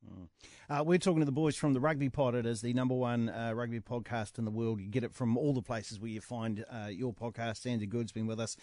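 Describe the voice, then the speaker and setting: A man hosting a radio interview, asking questions in a relaxed, hesitant way with fillers. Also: medium pitch, brisk, dry recording, clean studio sound. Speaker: radio host.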